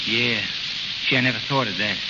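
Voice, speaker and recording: An actor playing a dim, excitable American gangster henchman in an old radio drama, plaintive and slangy. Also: mid-range voice, a little fast, close to an everyday voice. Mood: aggrieved, whiny. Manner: slangy tough-guy talk, plaintive. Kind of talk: 1930s-40s radio drama acting. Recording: noisy, muffled vintage radio recording, long reverb.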